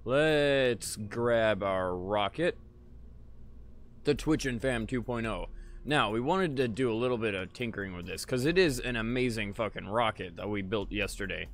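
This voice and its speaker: A man live-streaming a game in casual chat, tired and a little raspy, laid-back and swearing freely while talking to viewers. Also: on the low side, normal speed, slight background noise. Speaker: gaming livestreamer.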